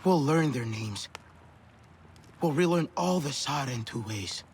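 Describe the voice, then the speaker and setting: An actor playing an earnest, cautious young alien newcomer in a video game, with a breathy, rough voice. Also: male voice, medium pitch, expressive, measured pace, a little mellow, slight background noise. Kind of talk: video game cutscene acting.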